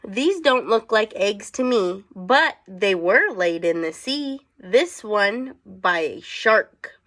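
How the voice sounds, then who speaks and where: A woman's voice: mid-range voice, animated, slow, a bit bright. A woman teacher reading aloud to children, with chatty asides and questions for her young listeners.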